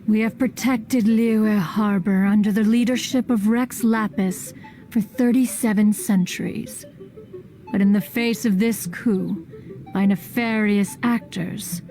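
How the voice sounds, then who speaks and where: A woman's voice: medium pitch, dark tone, close to an everyday voice. A woman voicing an ancient, haughty female immortal in a video game, with formal, imperious, archaic phrasing. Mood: grave, menacing. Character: ancient, haughty, reclusive female immortal adeptus, proud and stern. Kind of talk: stately video game character acting. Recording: studio voice over game music.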